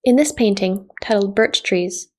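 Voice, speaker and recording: A Canadian woman whose first language was Japanese, a visual artist reading her artist statement in a measured, reflective way. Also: mid-range voice. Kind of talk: scripted artist statement narration.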